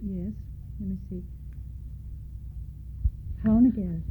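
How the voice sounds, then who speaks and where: An older Danish woman tells her life story in a middle-pitched voice, pausing to spell names letter by letter. She reminisces plainly and at length. The recording is thin and tinny, like an old phone line. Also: a little hiss or hum. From Denmark. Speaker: interviewee. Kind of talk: oral history interview.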